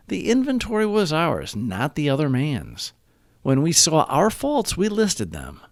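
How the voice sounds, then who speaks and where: A man narrates a recovery meditation from a script. His pitch moves in lively swings while his phrasing stays deliberate and measured. The sound is dry and close, with little room around it. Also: dry recording. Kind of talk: scripted read-aloud of daily meditation and reflection.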